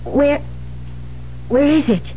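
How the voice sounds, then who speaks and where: Anxious bride, high voice, vintage radio. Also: female voice, slow. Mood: pleading. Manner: hesitant, evasive. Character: anxious, guarded young bride hiding her fear. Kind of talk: 1970s radio drama acting. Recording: muffled, noisy 1970s radio recording.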